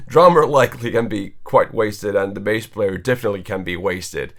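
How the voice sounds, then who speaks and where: A young man with a low voice, casually explaining how he mixed his own vocals, rambling with fillers. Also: normal speed, a bit bright. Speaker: singer and mixing engineer. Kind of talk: walkthrough of a vocal mix.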